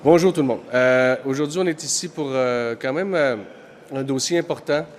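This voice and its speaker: A man's voice: medium pitch, normal speed, a little hiss or hum. A man opening a press conference, speaking hesitantly with many fillers.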